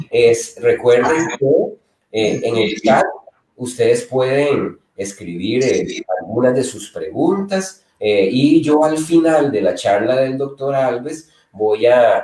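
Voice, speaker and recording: A man's voice: mid-range voice, a little mellow. Middle-aged man hosting, distant roomy audio. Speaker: university host. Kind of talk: introducing a guest speaker. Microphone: webcam on a video call.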